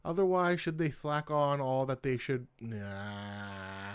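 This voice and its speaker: A man's voice: mid-range voice, fairly even intonation, slow, clean tone. A man hosting his own poetry channel, reading his poems aloud in a casual way and starting lines over when he stumbles.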